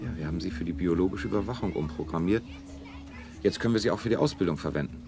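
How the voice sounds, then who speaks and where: A cold ruler of a dystopian future, speaks in a low voice. He is clinical and authoritative, stating everything as a decree. Music sits clearly behind this radio-play voice. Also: fast. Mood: coldly decisive. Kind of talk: 1970s German science fiction radio drama acting.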